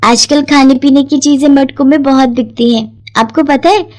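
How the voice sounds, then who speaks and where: A woman's voice: sits high, steady tone, moderate pace, darkish tone, pitched above the performer's own voice, natural voice. A woman voicing an eager, caring teenage schoolgirl in an earnest storybook cartoon manner. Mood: eager, hopeful.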